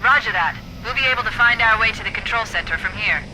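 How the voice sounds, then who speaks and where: A woman playing a wry, confident female artificial intelligence in a video game, with crisp delivery. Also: mid-range voice, quick pace, natural voice. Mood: wry, upbeat. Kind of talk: video game cutscene acting. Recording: loud music and engine noise.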